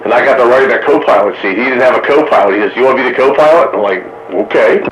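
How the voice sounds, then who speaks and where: A man's voice: medium pitch, very fast, a bit bright. A man chatting casually and telling joking anecdotes over a two-way radio. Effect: two-way radio transmission. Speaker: amateur radio operator chatting on a radio round table. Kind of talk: casual chat. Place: radio transmission picked up by a receiver. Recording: muffled, staticky radio reception with faint noise.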